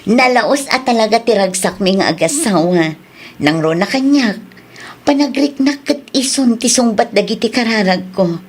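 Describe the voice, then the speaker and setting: A woman's voice: a little high, lively intonation, moderate pace, breathy edge. An actress with an Ilocano accent playing a devoted, grateful young wife and mother in a radio drama, in everyday dramatic speech. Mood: happy, grateful.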